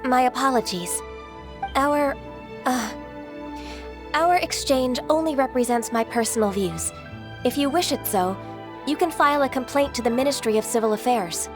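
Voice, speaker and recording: A woman's voice: high-pitched, normal speed, long pauses, over music, lightly acted. A woman playing an earnest, politely stern young government secretary in a video game, speaking in a formal, solemn way. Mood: formal, composed.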